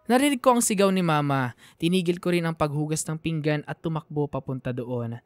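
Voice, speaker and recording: Heartfelt man narrating, slightly high voice. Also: brisk, clean tone, dry recording, soft background music. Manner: heartfelt, emotional. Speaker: narrator of a radio drama. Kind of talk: dramatic scripted first-person narration.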